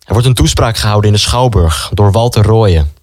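A man's voice: lowish voice, brisk, darkish tone, no room sound, lightly acted. A young man playing a stubborn, idealistic student in a wartime radio play, earnest and self-justifying. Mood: dismissive, reassuring. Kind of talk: radio play dialogue and inner monologue.

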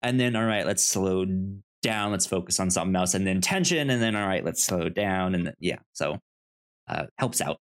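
A man co-hosting a podcast, chatting casually about film and haltingly repeating words as he thinks. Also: sits low, lively intonation. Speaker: podcast co-host. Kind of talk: casual film discussion chat.

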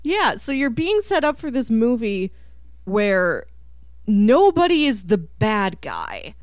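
A woman's voice: on the high side, huge pitch swings, takes it slowly, smooth, no room sound, clean studio sound. A woman co-hosting a podcast, talking casually about a film and animatedly voicing what people might be thinking. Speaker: podcast co-host. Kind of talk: casual film discussion chat.

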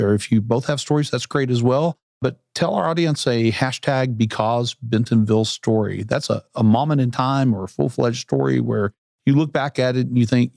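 A man hosts a podcast interview, asking questions in an upbeat, conversational way. His voice sits at an ordinary pitch. The recording is dry and close. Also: creaky, darkish tone, no echo. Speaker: podcast host.

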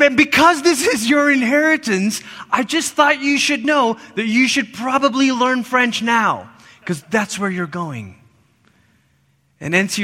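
A middle-aged man from Malaysia preaching a sermon in a church, swinging between loud emphatic lines and soft hushed ones. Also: very high-pitched. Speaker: pastor. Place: church sanctuary. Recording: long reverb of a church hall.

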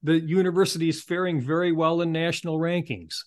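A man's voice: a little high, fairly even intonation, a little fast. A man hosting an interview show, giving polished, supportive segues and prompts with a promotional touch.

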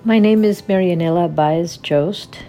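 Low-voiced woman farmer. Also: measured pace, mellow, faint music behind. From Costa Rica. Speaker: coffee farmer guest. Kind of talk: interview storytelling.

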